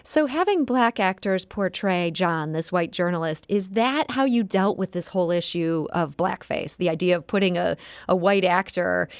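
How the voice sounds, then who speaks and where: A woman's voice: lowish voice, animated, normal speed, smooth, dry recording. A woman interviewing on public radio in a conversational way, putting thoughtful, probing questions.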